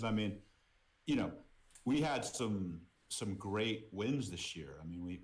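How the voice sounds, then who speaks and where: A middle-aged man from Boston with an American accent, talking casually as an interview guest, repeating words and often saying "you know". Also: low-pitched. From Boston, United States. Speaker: podcast guest, merchandise business executive.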